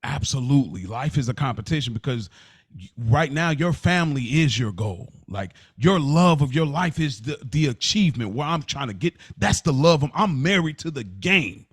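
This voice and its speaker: A man's voice: mid-range voice, lively intonation, moderate pace, breathy edge. A man co-hosting a podcast in casual debate, making points emphatically and answering his own questions. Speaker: podcast co-host.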